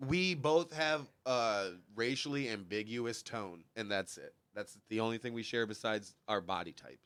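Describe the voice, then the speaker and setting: A man's voice: lowish voice, moderate pace, a bit bright, clean tone, dry recording. A young man from Michigan, a stand-up comic guesting on a podcast, chatting casually with a congested voice and joking, rambling, laughing often.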